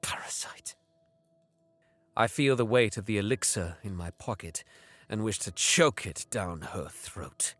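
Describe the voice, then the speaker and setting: An actor playing a bitter young alien prince, narrating an audio drama deliberately and sometimes dropping into hissed whispers. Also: male voice, fairly low pitch, lively intonation, fairly bright tone, no room sound, faint music behind. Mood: seething.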